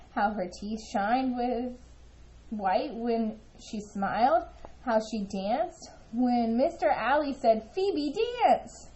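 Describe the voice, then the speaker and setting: A woman reading a children's story aloud in an expressive, animated way, acting out the dialogue. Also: on the high side, dull audio. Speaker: storybook reader.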